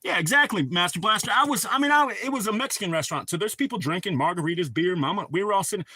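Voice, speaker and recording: Middle-aged male streamer, bright forceful tone, slightly high, profane rambling rant, dry close sound. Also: expressive, rapid-fire, no room sound. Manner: profane, rambling, heated storytelling. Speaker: livestream host. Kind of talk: casual ranting chat.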